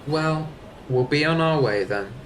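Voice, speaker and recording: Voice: mid-range voice, lively intonation, unhurried, a little hiss or hum, natural voice, low-quality recording. An actor playing a tactful, sympathetic activist in a sci-fi audio drama, speaking hesitantly and repeating words.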